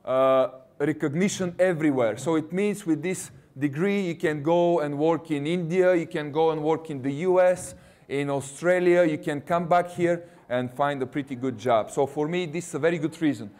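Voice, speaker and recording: Man, Russian accent, university recruiter, chatty, jokey, somewhat higher pitch. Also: moderate pace, a little mellow. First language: not English. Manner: jokey, chatty, quizzes the audience. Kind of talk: presentation to students.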